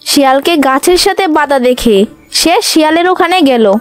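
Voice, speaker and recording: A woman's voice: high-pitched, expressive, normal speed, over music. A woman storyteller reading scripted narration for a children's animal tale.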